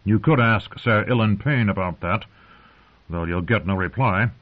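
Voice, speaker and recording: A man's voice: lowish voice, natural voice. Man playing a stern old lord, thin phone-like sound. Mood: stern lecturing. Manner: measured, authoritative, imperious. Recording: narrow, phone-like lo-fi audio.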